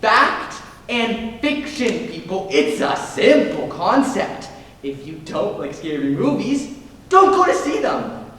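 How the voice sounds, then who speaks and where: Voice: mid-range voice, very animated, slow, a bit bright, a little hiss or hum. A young performer playing a scornful teenage student who rants and scoffs, heard on stage from far off in an echoey hall. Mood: ranting. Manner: scoffing, ranting.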